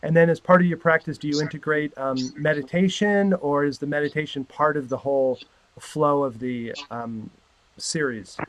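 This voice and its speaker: A man's voice: on the high side, normal speed, no echo, poor audio. A man interviewing a yoga teacher, asking friendly, encouraging questions. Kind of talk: podcast interview.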